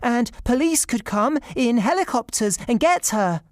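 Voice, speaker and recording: An anxious young child in a storybook, as a narrator acts it out. The voice is lifted high and pleads insistently, with very lively intonation. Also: unhurried, no echo, studio quality, close to an everyday voice. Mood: anxious, pleading.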